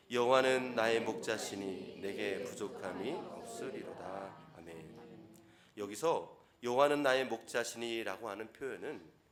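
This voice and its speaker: A middle-aged man preaching a Sunday sermon as a pastor, gentle and conversational with softly polite phrasing. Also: medium pitch. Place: church sanctuary.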